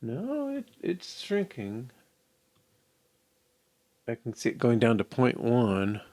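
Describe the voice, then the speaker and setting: A man's voice: mid-range voice, wildly swooping pitch, takes it slowly, a little mellow, no room sound. An older man giving casual think-aloud commentary as he codes, musing and muttering to himself. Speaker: programmer hosting a live coding session. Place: indoor room at home.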